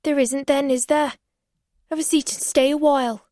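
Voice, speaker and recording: A woman's voice: sits high, steady tone, moderate pace, warm tone, no room sound, studio quality, voice pushed up, natural voice. A woman voicing a sly, pushy young male barman who talks casually and slangily.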